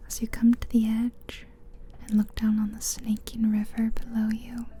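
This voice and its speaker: A woman whispering a slow, soothing guided visualization as an ASMR host, close to the mic. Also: a little high, completely flat delivery, mellow, soft background music. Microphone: close mic, ear to ear.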